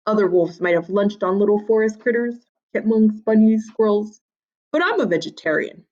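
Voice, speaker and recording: A woman's voice: mid-range voice, animated, moderate pace, a little mellow, muffled recording, lightly acted. A woman voicing a sly, hungry storybook wolf who narrates his tale to children in a wry, theatrical, confiding way. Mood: mock-innocent, confiding.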